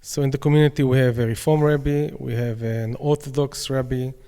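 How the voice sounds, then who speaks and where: A man with an Israeli accent, answering interview questions in a plain, slightly halting way with some fillers. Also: mid-range voice, fairly even intonation, dark tone, clear, resonant tone, big-room sound. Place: community centre dining room.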